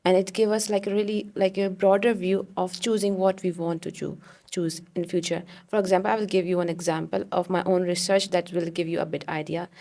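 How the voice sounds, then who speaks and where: A woman's voice: lowish voice, level tone, a little fast, dark tone. A young woman from Pakistan, a physical therapist, speaking earnestly as a podcast guest with frequent restarts. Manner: earnest, many fillers and restarts. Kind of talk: podcast interview.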